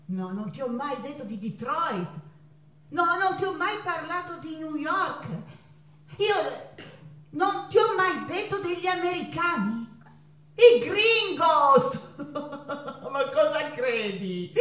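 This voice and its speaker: An irreverent, spirited Mexican woman painter reminiscing on stage. Her voice is high and unhurried, with dramatic, mocking flourishes. It carries from a distance in a reverberant room, over acoustic guitar. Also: fairly bright tone, exaggerated voice. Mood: teasing, reminiscing. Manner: dramatic, mocking, drawn-out exclamations with bursts of laughter. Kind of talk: theatrical stage monologue. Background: acoustic guitar music.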